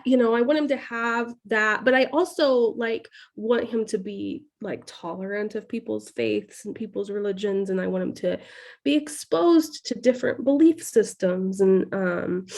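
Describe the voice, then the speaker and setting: A woman's voice: mid-range voice, measured pace, a little mellow. A woman from California, a podcast guest talking openly in a casual interview, hesitant, with many fillers and frequent laughter. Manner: hesitant, full of "like" and "um", laughing often. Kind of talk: candid casual interview talk.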